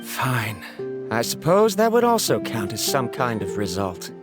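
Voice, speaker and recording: A man's voice: mid-range voice, huge pitch swings, unhurried. An actor playing a disheartened young male scholar, analytical and doubtful, in a video game. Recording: game audio with background music.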